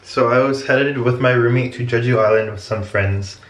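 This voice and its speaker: A man's voice: medium pitch, fairly even intonation, normal speed. A young American man from the United States vlogging as a study-abroad student, talking casually and rambling with lots of fillers while walking outdoors on city streets. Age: young adult. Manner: rambling, filler-heavy, chatty. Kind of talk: casual vlog narration. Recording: street noise behind.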